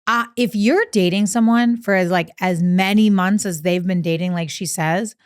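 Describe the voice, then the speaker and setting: A young woman co-hosting a podcast, chatting casually and telling stories with quick opinions. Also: mid-range voice, clear, resonant tone, dry recording, studio quality. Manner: animated storyteller, quick opinions. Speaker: podcast co-host. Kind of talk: casual recap chat.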